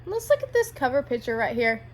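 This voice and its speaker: A woman's voice: sits high, very animated, brisk, slight background noise. A woman reading a children's picture book aloud as a storyteller, addressing young listeners with guiding questions.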